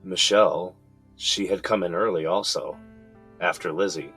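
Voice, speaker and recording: A man's voice: deep voice, fairly even intonation, normal speed, pushed and bright, soft background music, poor audio. A man playing a weary middle-aged defendant who explains himself in an earnest, conversational way in an audio drama. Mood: subdued, confiding.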